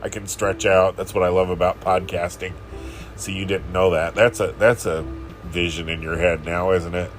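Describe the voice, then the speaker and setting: A man's voice: sits low, flat delivery, moderate pace, soft background music. A man hosting his own podcast in casual, rambling solo talk, with a just-woken morning voice, recording from bed at home. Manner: rambling, self-deprecating, chuckling. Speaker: podcast host.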